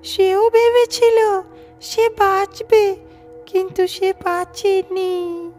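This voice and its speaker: A mutilated ghost woman, performed by a man, menaces her listener in a horror tale. The voice is extremely high and very slow, each phrase drawn out. Music plays behind it. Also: little pitch movement, a little mellow, heavily acted. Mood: eerie menace.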